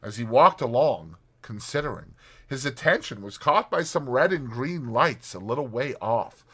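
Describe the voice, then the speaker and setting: A man narrating an audiobook as a dramatized story, in a theatrical, expressive manner. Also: medium pitch, moderate pace, breathy edge.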